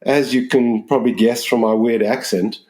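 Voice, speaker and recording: A man in his sixties from Zimbabwe with a mixed Southern African accent, answering interview questions in a measured, explanatory way. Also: mid-range voice, steady tone, a little mellow, reverberant. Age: 60s. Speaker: business school dean, interview guest.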